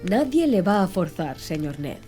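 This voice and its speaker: A woman voicing a calm, assured male submarine captain in an audiobook. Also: fairly low pitch, normal speed, faint music behind. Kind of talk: audiobook character dialogue.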